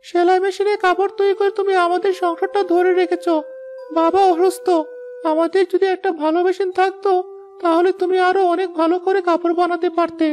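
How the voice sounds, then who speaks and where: A woman's voice: extremely high pitch, flat delivery, a little fast, a little mellow, faint music behind. A woman voicing an earnest, dutiful schoolboy from a poor family in a cartoon moral story.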